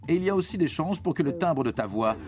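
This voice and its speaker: Animated male narrator over loud music. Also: a little high, fast talker. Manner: playful, addresses the young listener directly. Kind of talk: scripted educational cartoon narration. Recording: prominent music behind narration.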